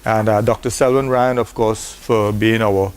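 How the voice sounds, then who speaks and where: A man's voice: on the low side, steady tone, moderate pace, slight background noise. A man giving a formal, earnest closing address at a university forum. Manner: earnest, exhorting.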